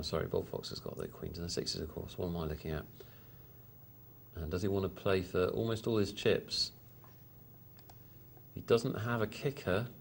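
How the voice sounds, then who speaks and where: A man's voice: low voice, gravelly, slight background noise. A man hosting a live poker show, commenting on hands in a chatty, hesitant way with lots of fillers. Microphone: studio mic.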